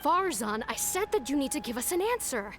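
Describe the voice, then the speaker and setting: An exasperated female official in a video game. The voice is high with lively swings in pitch, keeping a formal politeness while pressing the point. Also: fairly quick, over music.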